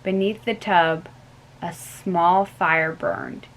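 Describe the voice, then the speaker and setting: Slow young woman reading a story aloud. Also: fairly low pitch, fairly even intonation, fairly bright tone, a little hiss or hum, rough recording. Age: young adult. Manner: slow, careful with small stumbles. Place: small bedroom indoors. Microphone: webcam at close range.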